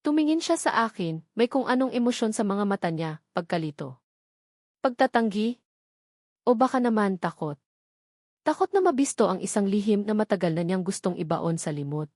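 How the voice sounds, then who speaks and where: A woman's voice: medium pitch, lively intonation, normal speed, a little mellow, no echo. Woman narrating a dramatic love story, dry recording.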